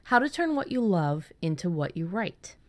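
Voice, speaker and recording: Low-pitched woman chatting candidly on a podcast. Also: very animated, normal speed, a little mellow. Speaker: podcast host and fiction writer. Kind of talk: casual podcast chat.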